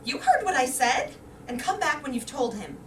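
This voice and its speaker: A woman acting a high-voiced, anguished aging wife. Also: huge pitch swings, normal speed, a bit bright, full character voice. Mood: imperious, flustered. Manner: dramatic, agitated, emphatic. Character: vain, anxious aging wife awaiting her soldier husband. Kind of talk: staged reader's theater acting. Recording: roomy, distant mic, faint noise.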